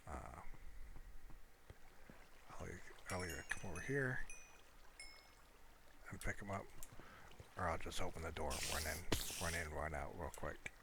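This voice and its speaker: Really deep voiced guy chatting thru his game, laid back n rambly, noisy home recording w watery sounds behind. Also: measured pace, pauses often. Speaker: gamer showing his Minecraft world. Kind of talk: casual unscripted gameplay commentary.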